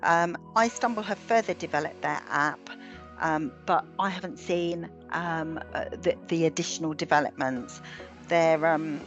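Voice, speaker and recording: Slightly low-pitched British woman presenting slides. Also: highs cut off, soft background music. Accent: British English. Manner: measured, with frequent ums and restarts. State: losing her voice. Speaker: webinar presenter.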